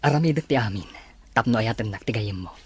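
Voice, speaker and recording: Man acting sincere, husky-voiced hopeful suitor. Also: on the low side, expressive, moderate pace. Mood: tender, hopeful. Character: sincere, lovestruck older brother, hopeful suitor. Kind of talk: Ilocano radio-style drama acting.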